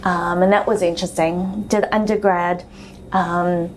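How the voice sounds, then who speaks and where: A woman's voice: on the low side, fairly even intonation, measured pace, a little hiss or hum. A woman in her thirties with a South African accent, telling her story as an interview guest in a chatty way with frequent ums.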